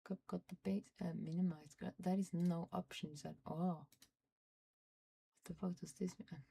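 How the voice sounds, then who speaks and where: A young woman from Norway, speaking English as a second language, talks slowly in a low, gravelly voice with a mellow, dark tone. She grumbles and curses at her software, often dropping to a muttered whisper. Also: fairly even intonation. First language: Norwegian. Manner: frustrated, swearing, muttering under her breath. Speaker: streamer. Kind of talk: casual livestream chat while fiddling with software.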